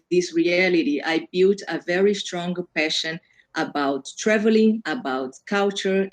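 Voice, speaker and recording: A woman from Brazil with a Brazilian accent, a native Portuguese speaker, presenting an online workshop in a conversational way and rephrasing as she explains. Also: on the low side. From Brazil, Amazon region. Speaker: workshop presenter, self-development coach.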